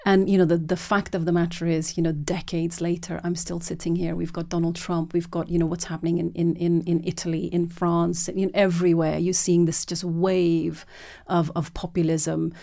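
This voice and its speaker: Earnest, mellow-voiced woman interviewee. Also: fairly low pitch, flat delivery, moderate pace, vocal fry. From Norway. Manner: earnest, with many repeated words and restarts. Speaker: documentary filmmaker. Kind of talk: long reflective interview answers.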